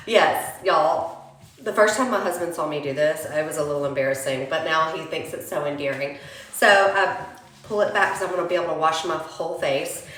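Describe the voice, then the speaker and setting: A woman's voice: fairly low pitch, fairly bright tone. A young woman, chatting casually through a hair-care tutorial while sniffling from a cold. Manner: chatty, addresses viewers as y'all. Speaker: beauty vlogger. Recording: roomy echoey home recording.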